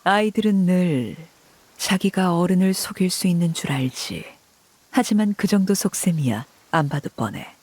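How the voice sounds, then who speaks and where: Commanding female authority for a game: husky, dry close sound, knowing, unhurried, quietly menacing. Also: fairly low pitch, animated, no echo. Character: cool, commanding, shrewd adult woman with quiet menace. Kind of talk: video game voice acting.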